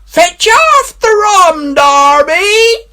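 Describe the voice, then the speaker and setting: A man voicing the thin, trembling, drawn-out wail of a dead pirate captain in a storybook reading. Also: very high voice, big pitch swings, sharp tone, pitched above the performer's own voice, full character voice. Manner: drawn-out wailing, sung or hailed.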